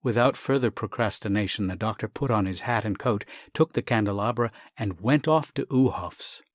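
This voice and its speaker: A man's voice: fairly low pitch, normal speed, dry recording. Dry studio man narrating a comic tale. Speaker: story reader, actor. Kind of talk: scripted narration of a classic comic short story.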